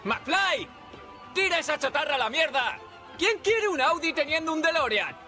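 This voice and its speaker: A man's voice: extremely high pitch, sing-song, normal speed, pushed and bright, soft background music. A man with a Castilian Spanish accent doing comic game dubbing as a sarcastic, exasperated, wisecracking superhero who swears theatrically. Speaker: video creator dubbing game bugs.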